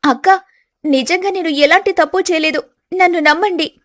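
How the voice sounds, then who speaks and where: A woman's voice: very high-pitched, normal speed, pitched above the performer's own voice. A woman playing a young, falsely accused daughter-in-law who pleads tearfully and earnestly in a family storybook melodrama. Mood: distressed pleading.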